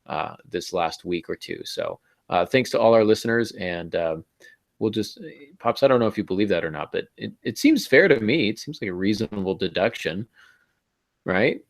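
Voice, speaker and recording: A man's voice: on the low side, lively intonation, normal speed, gravelly. An American man in his thirties chatting casually as a podcast host, with a jokey, self-deprecating manner. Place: small home room on a video call. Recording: dull, compressed video call audio.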